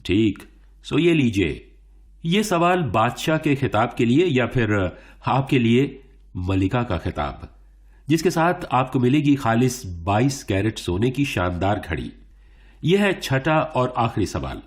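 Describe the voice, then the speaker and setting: An actor playing a suave, encouraging quiz show host in a radio play, announcing theatrically and building suspense. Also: male voice, medium pitch, very animated, a little slow. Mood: dramatic. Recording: music bed under the speech. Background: music.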